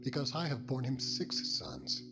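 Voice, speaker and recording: Guy reading as proud resentful bible wife, emphatic, pitched up and swooping, loud music behind. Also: measured pace, lightly acted. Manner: emphatic, declamatory, archaic phrasing. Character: Leah, proud, resentful ancient wife and mother. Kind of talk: dramatized scripture reading.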